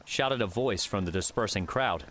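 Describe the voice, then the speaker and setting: Deep-voiced man narrating an audiobook. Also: normal speed, fairly bright tone, slight background noise. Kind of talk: scripted narration.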